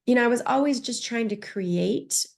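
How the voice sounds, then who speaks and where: A woman's voice: a little high, normal speed, creaky. A woman in her thirties or forties, a podcast guest telling her life story openly and reflectively, with frequent restarts. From United States. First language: Spanish. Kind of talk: personal story in an interview.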